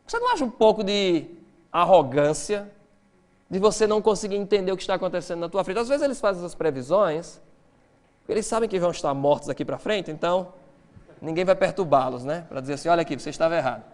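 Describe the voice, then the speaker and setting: A middle-aged man preaching a lecture-style sermon, animated, swinging between quiet explanation and loud excitement. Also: high-pitched, fast.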